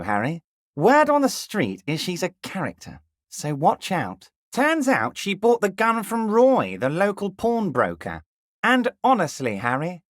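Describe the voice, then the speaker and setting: A smug scheming union boss. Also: male voice, no room sound, clean studio sound, full character voice. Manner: smooth and ingratiating, drops low and soft on pet names; blunt and crude at times. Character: scheming jovial male union boss, middle-aged. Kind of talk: video game character dialogue.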